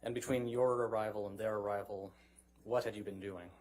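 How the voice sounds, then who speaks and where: Male attorney for courtroom questioning: brisk, methodical delivery, lowish pitch. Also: steady tone, a little mellow. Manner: methodical, probing. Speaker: lawyer questioning a witness.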